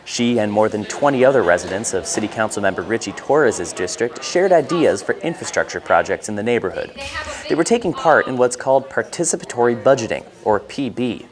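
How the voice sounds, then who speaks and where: A man's voice: mid-range voice, animated, brisk, music in the background. A man with an American accent reading a scripted news report as a reporter and anchor.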